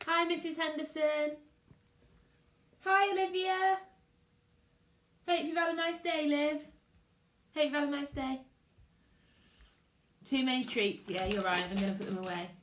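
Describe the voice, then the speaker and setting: A woman's voice: sits high, a little slow, pauses often, fairly bright tone, slight background noise. A British woman, a primary school teacher, chatting casually on a livestream and greeting viewers in a chirpy, sing-song way. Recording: roomy, echoey room sound.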